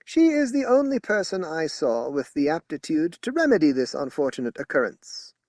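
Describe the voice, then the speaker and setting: A man's voice: on the high side, big pitch swings, darkish tone, dry recording, studio quality, voice pushed up. A man doing a thin-voiced solemn psychic. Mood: measured. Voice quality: thin voice with rolling accent. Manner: measured, formal. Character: odd little foreign man, solemn psychic.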